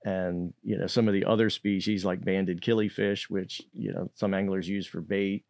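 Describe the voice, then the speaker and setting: A man's voice: deep voice, level tone, creaky, darkish tone, no echo. An older man, a state fisheries manager, giving careful answers in a podcast interview, with frequent fillers and restarts. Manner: measured, hesitant, many fillers and restarts. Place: indoor podcast studio. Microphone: boom-arm studio mic.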